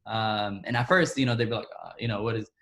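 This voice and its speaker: Soft-spoken Ethiopian-born man telling his story. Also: fairly low pitch, steady tone. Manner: halting, many restarts, soft trailing asides. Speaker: interviewee. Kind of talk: personal storytelling in conversation.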